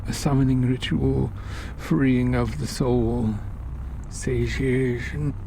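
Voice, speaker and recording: Faint noise sits behind a man playing an older occultist gentleman from a horror tale. His voice is mellow and very unhurried, at a middling pitch. He is polite and condescending, lecturing with quiet menace. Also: steady tone, creaky. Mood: solemn persuasive. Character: genteel, sinister older male occultist with walking cane. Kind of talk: horror story character dialogue.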